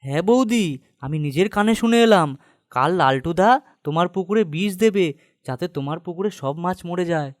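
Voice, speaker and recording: Earnest, higher-voiced loyal villager. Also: male voice, animated, fairly quick, dry recording, studio quality. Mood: urgent. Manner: earnest, urgent. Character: loyal, righteous young villager warning his friend. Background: music.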